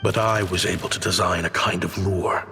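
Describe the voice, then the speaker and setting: A wistful former scholar in a video game recalls his life in a measured way. His voice sits fairly low and carries a long reverberant tail, with music underneath. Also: fairly even intonation, sharp tone. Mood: regretful. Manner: reflective, measured storytelling. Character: former scholar turned desert inventor, wistful and regretful. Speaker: story narrator and character. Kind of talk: scripted video game narration and dialogue.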